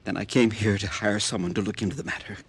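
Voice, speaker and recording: An actor playing an ailing, earnest farmer seeking help in a 1950s radio drama, halting, polite and pleading. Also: male voice, on the low side, fairly even intonation, breathy edge. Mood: earnest, troubled. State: weak and ailing, as acted.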